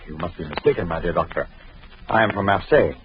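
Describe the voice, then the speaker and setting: A nervous, evasive host, with a lowish voice that swings widely as he covers his tracks. The sound is a dull, lo-fi old radio recording with faint hiss. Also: expressive, quick pace. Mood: polite. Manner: polite but evasive and flustered. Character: nervous Frenchman hiding his lover, evasive. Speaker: actor in a radio drama. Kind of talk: 1940s radio acting.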